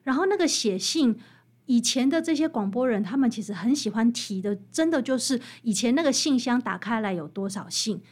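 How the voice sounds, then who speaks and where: Woman, high voice, Taiwanese Mandarin accent, emphatic storyteller, conversational interview guest. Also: animated, fairly quick. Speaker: guest author interviewed about her book.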